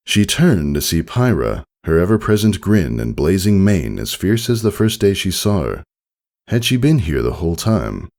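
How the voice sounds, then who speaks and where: A man reading fiction aloud as an audiobook narrator, with expressive scripted delivery. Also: extremely low pitch, creaky.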